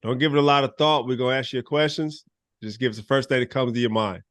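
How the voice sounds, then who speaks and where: A man hosting a podcast interview, relaxed and teasing as he asks quick questions. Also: medium pitch, no echo. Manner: relaxed, teasing, laughs often. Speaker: podcast host. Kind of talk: casual interview chat.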